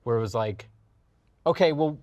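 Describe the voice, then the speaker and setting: A man's voice: medium pitch, animated, normal speed, a little mellow, no echo, highs cut off. A man hosting a casual, opinionated panel discussion, talking emphatically and repeating phrases to drive points home. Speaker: panel host on a film and gaming discussion show.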